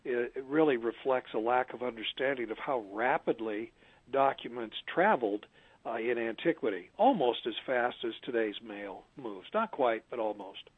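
A man's voice: mid-range voice, animated, normal speed, slightly rough, no echo. A male scholar as an interview guest, explaining in a lecturing way over a phone line.

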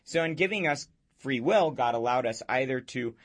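Raspy-voiced man hosting a faith talk. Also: mid-range voice, lively intonation, moderate pace. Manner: earnest, explanatory monologue. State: hoarse from a cold. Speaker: radio show host.

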